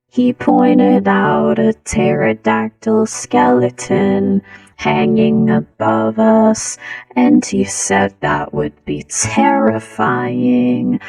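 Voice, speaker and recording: A woman's voice: medium pitch, creaky, darkish tone, husky, distant mic, rough recording. A woman telling a casual, humorous personal story, her voice run through a voice changer. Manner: slow, giggly delivery through a voice changer. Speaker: storyteller, content creator.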